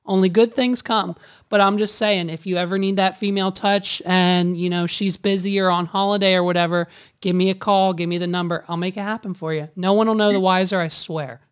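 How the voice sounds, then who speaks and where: A man with a raspy voice that people often take for a woman's, a business owner telling a story in casual conversation, jokey and confiding. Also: high voice, steady tone, brisk.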